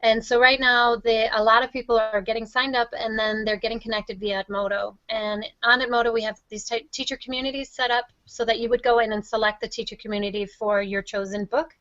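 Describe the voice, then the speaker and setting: A woman's voice: fairly high pitch, fairly even intonation, fairly quick, few pauses, a bit bright, no echo, dull audio. A woman giving enthusiastic, effusive, conversational answers as an interview guest. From Wisconsin, United States. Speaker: interview guest, teacher and reading project founder.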